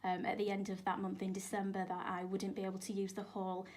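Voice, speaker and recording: A woman yoga teacher and life coach from England, speaking earnestly as a radio guest with hesitant restarts and soft asides. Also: mid-range voice, completely flat delivery, quick pace.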